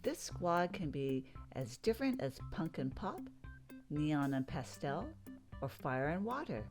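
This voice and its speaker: A woman narrates a children's picture book in a low voice. Her delivery is slow and very varied in pitch, with music clearly playing behind her. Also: very animated. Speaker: children's read-aloud narrator. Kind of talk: scripted storybook narration.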